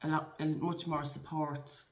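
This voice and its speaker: A woman's voice: sits low, level tone, unhurried, roomy sound. A woman in her forties with an Irish accent, a guest talking earnestly and encouragingly in a conversational interview, with frequent restarts. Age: 40s.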